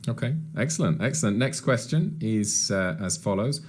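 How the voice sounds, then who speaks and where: A man's voice: fairly low pitch, animated, normal speed, darkish tone, husky. A man moderating a webinar Q&A, introducing audience questions and affirming answers, often repeating words. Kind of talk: hosting a Q&A, reading out audience questions.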